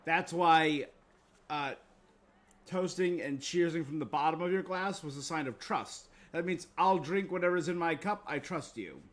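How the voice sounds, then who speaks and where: A man's voice: on the high side, normal speed. A man chatting casually with viewers as a game streamer, in a laid-back, conversational manner. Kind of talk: casual livestream chat with viewers. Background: video game music.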